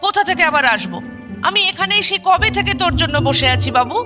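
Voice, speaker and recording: A woman playing a sharp-tongued yet doting dead mother who speaks from her photograph, in a colloquial radio drama style. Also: extremely high pitch, lively intonation, fairly quick, music in the background. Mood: teasing. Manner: scolding, teasing, colloquial. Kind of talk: broadcast radio drama acting.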